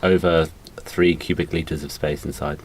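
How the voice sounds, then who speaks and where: Deep-voiced man in casual chat. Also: level tone, moderate pace, slight background noise. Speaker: podcast co-host. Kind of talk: casual chat, explaining and debating.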